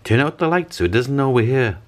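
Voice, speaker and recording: Excitable, fretful storybook parent, raised pitch, exclaiming played by a male reader. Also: a little fast, natural voice. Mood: panicked. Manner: exclaiming, panicky. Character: alarmed, fretful mother and father of storybook family. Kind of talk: storybook character reading.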